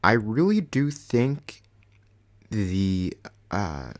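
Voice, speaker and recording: Young man, deep baritone, easygoing rambling reviewer, filler-heavy delivery. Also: very animated, very slow. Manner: rambling, lots of ums and likes. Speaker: channel host reviewing a microphone. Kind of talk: casual product review. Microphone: USB condenser desk mic.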